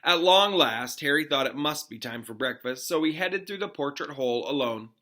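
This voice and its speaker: Bright-voiced man reading aloud. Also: fairly high pitch, moderate pace. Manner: expressive reading, informal explanations to pupils. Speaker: classroom teacher reading aloud. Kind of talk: storybook read-aloud with casual asides.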